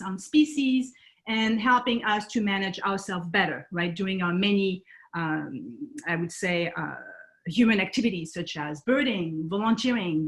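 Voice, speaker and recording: A woman's voice: medium pitch, a bit bright. A woman from France with a French accent, presenting at an online talk as a nonprofit founder, speaking with many hesitations and restarts over a video call.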